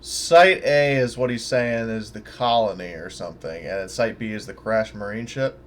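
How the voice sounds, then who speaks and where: Low-voiced man chatting at a tabletop game. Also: normal speed, a bit bright. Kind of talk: casual game chat. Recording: faint background noise.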